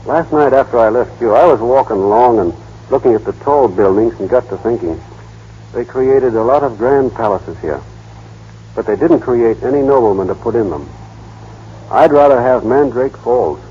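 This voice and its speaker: A man's voice: medium pitch, expressive, normal speed, slightly rough. An actor playing a shy, naive small-town American man in a vintage radio drama, hesitant, boyish and plainspoken. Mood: shy, earnest. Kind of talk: 1930s-40s radio drama acting. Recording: dull, muffled old radio broadcast.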